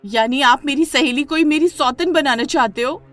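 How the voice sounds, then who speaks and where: A woman's voice: sits high, normal speed, a bit bright, faint music behind, voice pushed up. A woman voicing a jealous, hot-tempered young wife in a melodramatic story, with emotional outbursts. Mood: jealous, indignant. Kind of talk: melodramatic Hindi moral story acting.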